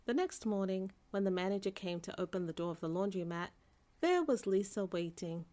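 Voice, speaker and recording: A woman's voice: mid-range voice, brisk. Woman reading a children's storybook aloud. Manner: expressive storytelling, character voices for dialogue. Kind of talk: read-aloud bedtime story narration for children.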